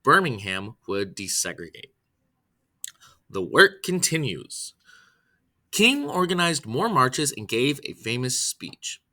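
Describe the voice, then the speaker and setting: A man with a very bright, forceful voice reads a children's biography aloud. His pitch sits in an ordinary middle range but moves in a lively way. He takes the text deliberately, one phrase at a time. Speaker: reader, storyteller.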